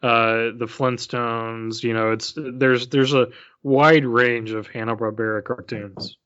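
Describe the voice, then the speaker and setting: A man co-hosting a podcast in casual chat, relaxed and thoughtful, pausing often with fillers. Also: medium pitch, no room sound. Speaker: podcast co-host. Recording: dry, dull lo-fi podcast audio.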